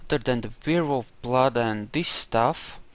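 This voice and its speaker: A man's voice: medium pitch, moderate pace, no room sound. A man giving casual commentary while he plays through a video game in a roleplaying style. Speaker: gamer doing a roleplay playthrough.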